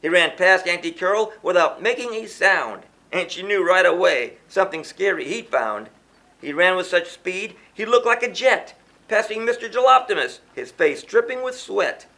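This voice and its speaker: A man narrates a children's story in verse. His voice sits high and swings widely in pitch. He leans into the rhythm theatrically, like a storybook reader performing for young listeners. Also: lively intonation, normal speed, gravelly, sharp tone. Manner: theatrical, sing-song rhythmic delivery. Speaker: children's book author reading aloud.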